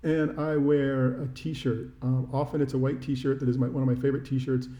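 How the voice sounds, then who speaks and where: A man's voice: medium pitch, flat delivery, moderate pace, mellow. A middle-aged American man co-hosting a podcast, chatting casually about sports in a wry, self-deprecating, rambling way, with a roomy echo. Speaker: podcast co-host. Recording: roomy, echoey sound.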